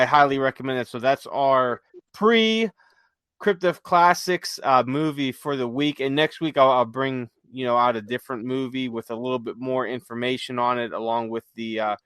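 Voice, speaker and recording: A man's voice: mid-range voice, fairly bright tone, smooth, no echo. A man co-hosting a podcast, enthusiastically recommending things in a casual chat and repeating phrases for emphasis. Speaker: podcast co-host.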